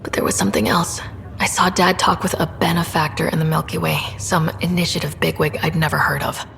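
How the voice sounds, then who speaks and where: Young female space explorer, low gravelly fry, earnest, subdued, game dialogue, reverberant. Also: steady tone, brisk, a bit bright. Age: young adult. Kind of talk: naturalistic video game dialogue acting. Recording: reverberant room, faint ambient noise.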